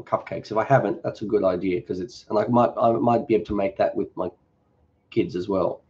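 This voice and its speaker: A middle-aged Australian man with a low, warm voice chats his way through a live-stream Q&A. He is relaxed and joking, and he rambles a little. The sound is muffled and lo-fi. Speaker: cooking channel host.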